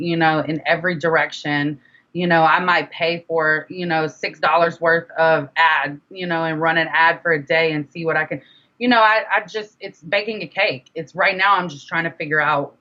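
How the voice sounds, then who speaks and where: A young woman with a low, forceful voice gives a casual interview. She speaks candidly, often repeating a phrase to drive it home. The recording is dull and lo-fi. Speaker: guest, independent music artist. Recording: dull, lo-fi sound.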